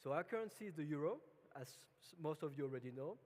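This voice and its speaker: A man from France with a French accent, giving a relaxed, chatty conference talk with many fillers and restarts. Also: on the high side, fast. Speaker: conference speaker. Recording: long hall reverb.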